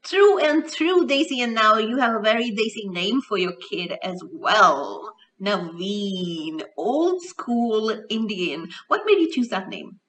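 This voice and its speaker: A woman's voice: medium pitch, expressive, takes it slowly, few pauses, a bit bright, slight background noise. A woman hosting a radio show and podcast, asking her guest questions in an upbeat, enthusiastic conversational way. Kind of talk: conversational interview. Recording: distant from the mic.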